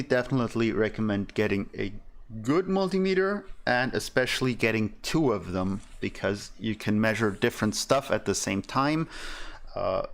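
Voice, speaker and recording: A young man with a German accent who thinks aloud as he explains soldering at his workbench, with many fillers. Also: mid-range voice. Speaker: electronics streamer. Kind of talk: casual soldering tutorial.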